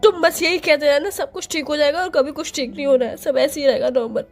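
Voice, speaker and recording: A woman's voice: sits high, fairly even intonation, fast talker, faint music behind. A young woman acting a sulky, stubborn girlfriend in a phone-call skit, tearful and snappy. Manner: petulant, snappy, curt refusals. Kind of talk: acted romantic phone-call skit in Hindi.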